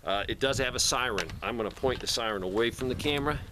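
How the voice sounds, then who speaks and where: A man's voice: mid-range voice, fast talker, noisy background. A man talking casually to camera in a plainspoken, rambling way, like a video host showing his gear. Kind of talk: casual product talk to camera.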